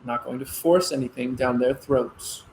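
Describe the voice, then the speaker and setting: A man playing an earnest, gently firm grown son and expectant father in a naturalistic one-act play. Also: medium pitch, steady tone, moderate pace, a little hiss or hum, close to an everyday voice, rough recording. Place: virtual play performed over video call.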